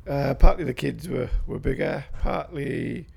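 A man's voice: medium pitch, expressive, unhurried, creaky, a little mellow, slightly rough. A man in his fifties with a South Wales Valleys accent, answering interview questions in a hesitant, rambling way with fillers and restarts. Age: 50s. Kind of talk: recorded interview.

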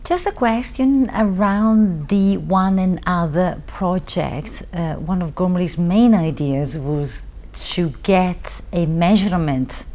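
Slow-speaking woman interviewer. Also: fairly low pitch, mellow. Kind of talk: interview question.

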